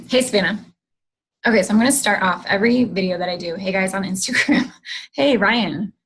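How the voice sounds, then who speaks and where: A woman's voice: mid-range voice, animated, moderate pace, fairly bright tone, slight background noise, poor audio. A woman hosting a casual livestream, chatty, greeting viewers, and losing her voice. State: losing her voice, clearing her throat. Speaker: host of a livestream.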